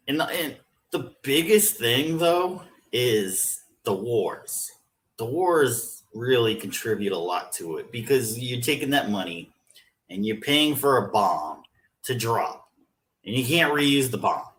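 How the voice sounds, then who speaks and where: A man co-hosting a podcast, calmly walking through his views on spending and health insurance in a casual discussion. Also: mid-range voice, slow. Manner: explains at length, methodical. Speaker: podcast co-host.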